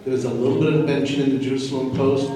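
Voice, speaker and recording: A man speaking emphatically and deliberately, giving an impromptu opinion at a public discussion, in an echoey hall at a distance from the mic. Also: medium pitch, steady tone, dark tone. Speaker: audience commenter.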